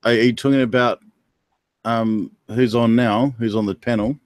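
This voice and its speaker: A man's voice: medium pitch, fairly even intonation, normal speed, a little mellow, dry recording. A man chatting casually on an online panel, informal and repeating himself for emphasis. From New Zealand. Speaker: participant in an online panel chat. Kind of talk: casual chat.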